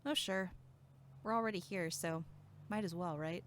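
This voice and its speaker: A woman's voice: lowish voice, normal speed, close to an everyday voice. A woman acting in an audio drama as a flippant young camp counselor, with a sarcastic, blunt and casual way of talking. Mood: sarcastic, unimpressed.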